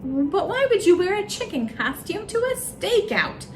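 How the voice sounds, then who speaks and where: A woman's voice: extremely high pitch, very animated, unhurried, slight background noise, pitched above the performer's own voice, exaggerated voice. Woman voicing an exasperated, hot-tempered male tomato detective. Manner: exclaiming, gasping, growling protests. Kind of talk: children's storybook character voice.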